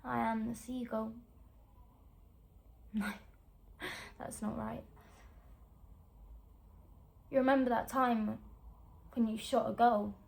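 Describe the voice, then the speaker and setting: Halting, weary young actress, slow and fragile, acted by a woman. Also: on the high side, little pitch movement, vocal fry, darkish tone. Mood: haunted, distracted. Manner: halting, broken phrases with long pauses. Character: weary, fragile young actress, wounded yet striving for faith. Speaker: actress performing a monologue. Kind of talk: naturalistic screen-acting stage monologue.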